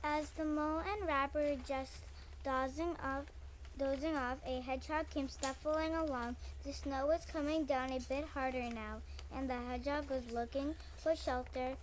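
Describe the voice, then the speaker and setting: A woman's voice: high-pitched, slight background noise, rough recording. A woman narrating a scripted children's story.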